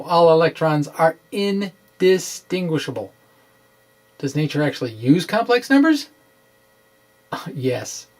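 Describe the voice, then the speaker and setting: A middle-aged man presenting an informal science explainer, with halting, emphatic delivery and wry asides. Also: fairly high pitch. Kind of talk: informal dramatic reading of a physics explainer. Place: small indoor room with bookshelves. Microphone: microphone on stand close in front.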